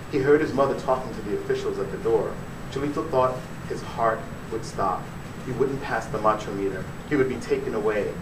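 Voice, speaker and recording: A man, an author giving a dramatic reading of his fiction at a live bookstore event. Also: mid-range voice. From born in Puerto Rico, raised in the Bronx, New York. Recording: echoey room, distant mic, noisy.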